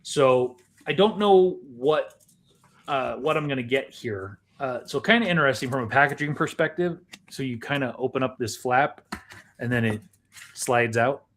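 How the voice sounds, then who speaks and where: A man's voice: medium pitch, normal speed, fairly bright tone, slight background noise. A middle-aged man, co-hosting a casual, chatty podcast with food tasting. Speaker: podcast co-host. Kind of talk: casual chat, snack tasting.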